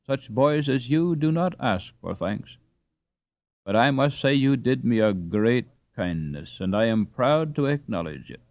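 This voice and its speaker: A man voicing a courteous, confident, well-bred young Dutch gentleman in a storybook, polite and formal. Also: medium pitch, expressive, unhurried, gravelly, dry recording. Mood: grateful.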